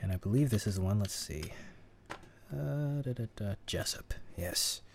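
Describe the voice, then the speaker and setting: A man hosting a casual audio editing tutorial, chatting in a relaxed way and laughing at himself. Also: fairly low pitch, moderate pace, gravelly, a little hiss or hum. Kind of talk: casual screen-recorded tutorial.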